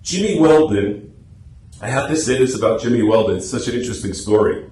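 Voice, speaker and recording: A man's voice: mid-range voice, animated, fairly quick, darkish tone. A man giving a chatty, anecdotal, informal presentation to a club meeting. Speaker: club presenter and radio script writer. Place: meeting room, speaking to a small audience. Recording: echoey room with faint noise.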